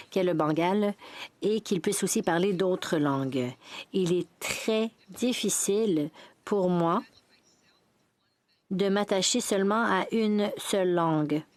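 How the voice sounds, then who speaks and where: Low-voiced woman giving a formal speech. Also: darkish tone, no echo. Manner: measured, formal delivery with brief restarts.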